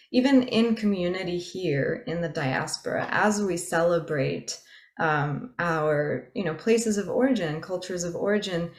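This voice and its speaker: Thoughtful woman, lowish voice, lots of ums, echoey room. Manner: reflective, hesitant, many fillers. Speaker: panelist. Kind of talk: panel discussion.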